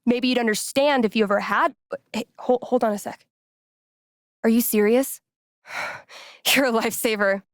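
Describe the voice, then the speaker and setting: A woman's voice: fairly high pitch, moderate pace, long pauses, no echo, studio quality, natural voice. An actress playing a laid-back, geeky young American woman in a video game, speaking in a casual, wry, playful way. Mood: exasperated, then friendly, upbeat. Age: young adult. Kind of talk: naturalistic video game character dialogue.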